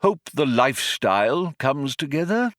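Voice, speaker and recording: A man's voice: mid-range voice, big pitch swings, measured pace, a bit bright, dry recording, studio quality, voice pushed up. A man voicing a polite, fussy old man in a storybook, hesitant, plaintive and easily affronted. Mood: coldly polite. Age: older. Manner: hesitant courtesy, plaintive indignation.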